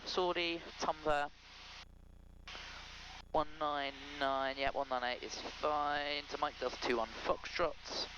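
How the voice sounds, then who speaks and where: A man's voice: fairly high pitch, fairly even intonation, slow, muffled recording, noisy background. A man in his thirties on an aviation headset in a small plane, briefing procedures in methodical, clipped checklist phrases. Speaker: pilot. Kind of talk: procedural cockpit briefing between pilots. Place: small light aircraft cockpit in flight. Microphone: aviation headset boom mic.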